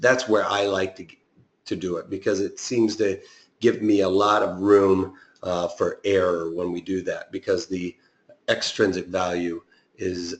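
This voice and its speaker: A man with a raspy voice explaining trading strategy in a live online lesson, often pausing with fillers and restarting his sentences. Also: low-pitched, echoey room. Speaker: options trading instructor.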